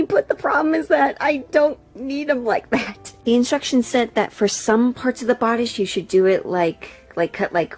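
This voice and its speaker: A woman's voice: fairly high pitch, darkish tone. A woman chatting casually in a sewing vlog, thinking aloud in a candid, rambling way. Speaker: sewing vlogger.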